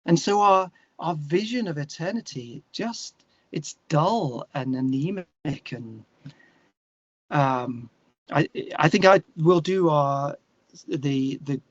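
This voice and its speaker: A man talking casually in an interview, opinionated and animated, thinking aloud and correcting himself as he goes. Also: on the high side, slow, no echo, highs cut off. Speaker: interview guest, musician and songwriter.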